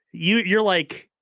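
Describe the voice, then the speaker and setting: A man's voice: sits high, gravelly, no echo, dull audio. A man in his forties chatting casually about sports as a co-host, rambling and joking in slangy speech. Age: 40s.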